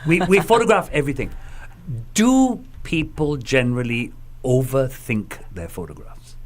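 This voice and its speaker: A man's voice: mid-range voice, lively intonation, moderate pace. A man co-hosting a morning radio show from the studio, bantering in a teasing, jokey way. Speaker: radio co-host.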